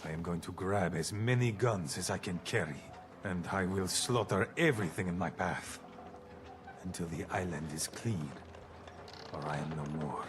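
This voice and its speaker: An actor voicing a bitter, vengeful man in a video game, speaking slowly with grim brooding threats. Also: low voice, noisy background, lightly acted. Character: embittered vengeful island man, gravelly.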